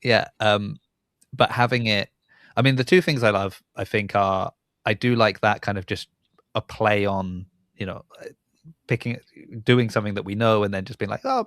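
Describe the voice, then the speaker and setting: A man's voice: on the low side, fairly bright tone, no echo, studio quality. A man hosting a casual podcast interview, thinking aloud and rambling as he rephrases mid-sentence.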